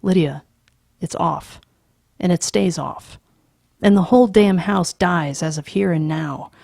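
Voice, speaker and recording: A firm middle-aged father speaks in blunt, forceful bursts, acted by a woman reading a storybook. The voice is husky and gravelly, slow and close-miked, with a dry sound. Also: lowish voice, no echo, full character voice. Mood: angry.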